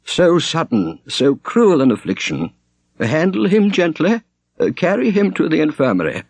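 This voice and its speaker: A man voicing a kindly old abbot in an audiobook, with a fluttering, anxious, gentle manner. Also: fairly high pitch, huge pitch swings, normal speed, gravelly, no echo, voice pushed up, heavily acted. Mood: anxious, pitying. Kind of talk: audiobook character voice.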